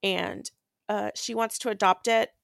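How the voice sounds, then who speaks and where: A woman's voice: medium pitch, steady tone, measured pace, creaky, dry recording, studio quality. A woman co-hosting a podcast, casually recapping a book in a rambling, slangy way. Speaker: podcast co-host.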